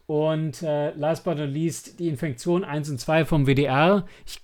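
A man's voice: on the high side, steady tone, unhurried, darkish tone. A man co-hosting a podcast in casual chat, searching for his words as he goes. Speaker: podcast host. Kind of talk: casual chat about audio dramas.

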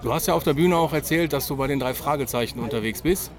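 A man's voice: mid-range voice, fast. A man doing casual on-site interviews as a podcast host. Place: outdoors at a busy convention. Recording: crowd chatter, busy event outdoors.

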